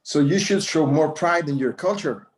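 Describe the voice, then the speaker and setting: A man's voice: a little high, normal speed, natural voice. A man playing a proud, courteous old Mexican-American rancher in a screenplay table read, speaking formally. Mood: dismayed. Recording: echoey room.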